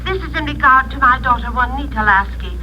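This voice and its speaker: Shrill, flustered worried mother on the phone. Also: animated, fairly quick, pushed and bright, heavily acted. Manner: flustered, stammering, apologetic. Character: anxious, polite small-town mother phoning about missing daughter. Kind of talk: old-time radio drama acting. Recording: old radio, noisy telephone-like line.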